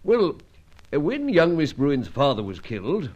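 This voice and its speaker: A man playing a genial, skeptical older British spy chief in an old-time radio drama, measured and wry with gentlemanly hesitations and a British accent. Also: a little high, huge pitch swings, muffled recording, heavily acted.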